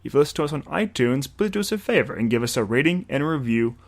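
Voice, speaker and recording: A man's voice: mid-range voice, animated, quick pace. A man reading scripted end credits and announcements for an audio drama. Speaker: credits reader. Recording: music under the voice.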